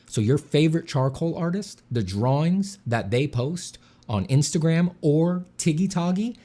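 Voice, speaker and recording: A man streaming while he draws, chatting casually with dry deadpan jokes and a slight slur from a sore mouth. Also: on the high side, a little slow. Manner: dry deadpan joking, relaxed and rambling. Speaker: artist streaming a drawing session. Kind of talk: casual livestream chat.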